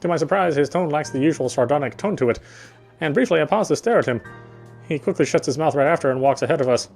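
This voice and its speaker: Guy reading game story text out loud real quick, trips over words, music behind him. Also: a little high, animated, gravelly. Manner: stumbles and rereads phrases. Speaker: game player reading narration aloud. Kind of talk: reading visual novel narration aloud.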